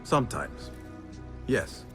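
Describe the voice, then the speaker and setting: An actor playing a haunted, guarded assassin in a video game, terse, solemn and measured. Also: male voice, music in the background, lightly acted. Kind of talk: dramatic video game acting.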